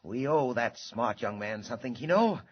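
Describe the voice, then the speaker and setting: An actor playing a cold, calculating male gang boss in 1940s radio drama, with a husky voice, on a lo-fi 1940s radio transcription. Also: medium pitch, lively intonation, moderate pace. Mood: scheming.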